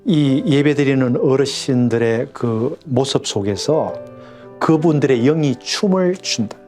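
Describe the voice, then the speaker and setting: A man's voice: medium pitch, unhurried, a little mellow, soft background music. A middle-aged man, a pastor, speaking earnestly and with fervor in a radio interview, with a regional dialect he mentions himself.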